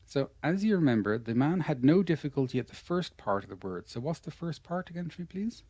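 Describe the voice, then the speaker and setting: A man's voice: a little high, lively intonation, fairly quick, dark tone, no room sound. A man with an Irish accent teaching English pronunciation in an online lesson. He talks patiently and encouragingly, repeating his praise often. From Ireland.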